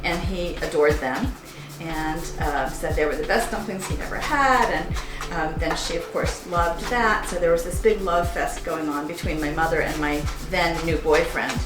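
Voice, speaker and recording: A woman's voice: sits low, normal speed. A middle-aged American woman talking informally and explaining things as a home cook presenting a cooking demonstration. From United States, partly Hawaii. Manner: conversational, explanatory. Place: indoors, roomy kitchen. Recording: echoey room with music behind.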